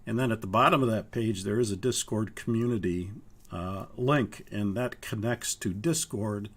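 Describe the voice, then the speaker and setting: An older man from Minnesota, hoarse from a cold, walking students through a flight training course in a halting way with many pauses. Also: lowish voice, a bit bright. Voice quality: gravelly, husky. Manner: halting, with frequent uhs. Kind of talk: live online course walkthrough.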